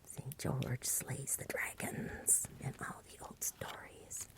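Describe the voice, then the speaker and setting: A woman softly reading a storybook in a hushed, breathy ASMR whisper with a gentle delivery. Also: takes it slowly. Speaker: reader, ASMR host.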